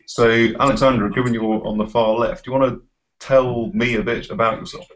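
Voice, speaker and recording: A man's voice: medium pitch, steady tone, a little fast, rough recording. A middle-aged man leading an informal tutorial on a video call as course tutor, hesitant and encouraging. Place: small office, indoors.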